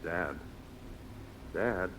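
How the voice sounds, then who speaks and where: Low-voiced earnest son, noisy recording. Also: male voice, extremely slow. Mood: solemn. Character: earnest grown son, aspiring radio announcer. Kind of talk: 1950s radio comedy acting. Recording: noisy old radio recording.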